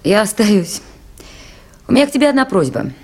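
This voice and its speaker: An actress playing a resolute, earnest woman in charge of a river station in a radio play, speaking firmly and with measured authority. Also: mid-range voice, very animated. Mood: firm, insistent. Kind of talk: 1980s radio play acting. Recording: faintly noisy 1980s radio drama recording.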